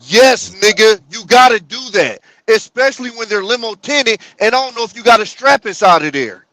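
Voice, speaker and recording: Blunt, emphatic man with a bright edge. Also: high voice, lively intonation, poor audio. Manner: blunt, emphatic, slow on key points. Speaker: panelist. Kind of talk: casual group chat. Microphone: headset or desk mic on live stream.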